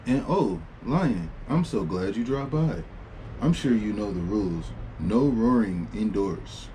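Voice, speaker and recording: A man acts out a young storybook girl pretending to be a librarian. The delivery is polite, chatty and a little bossy, with a mellow tone. Background noise sits under the voice. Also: on the low side, lively intonation, a little slow, poor audio. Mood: gracious, excited. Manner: gracious, chatty, mildly fussy. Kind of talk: storybook read-aloud dialogue.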